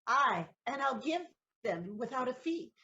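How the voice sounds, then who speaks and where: A woman's voice: high-pitched, very animated, a little slow, bright, forceful tone, dull audio, heavily acted. A woman playing a saucy, teasing young waiting-woman in a Shakespearean audio play.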